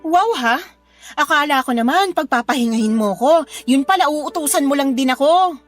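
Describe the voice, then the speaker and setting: Overworked young mother, radio drama; piercingly high voice, biting and emotional, light music behind. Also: expressive, normal speed. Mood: sarcastic, angry. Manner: sharp, sarcastic, emotional. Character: overworked, fed-up young mother confronting her cheating partner. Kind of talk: Filipino radio drama acting.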